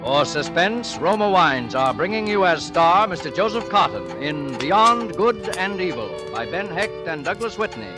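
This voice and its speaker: A man's voice: high voice, big pitch swings, normal speed, hardly any pauses. A male show announcer giving a formal, declamatory 1940s radio program introduction. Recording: old radio broadcast with music.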